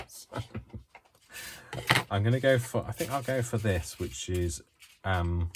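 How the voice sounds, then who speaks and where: A British man from the UK chatting through a crafting tutorial at home, rambling, self-deprecating and quick to giggle. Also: lowish voice, lively intonation, heavy background noise. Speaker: craft vlogger.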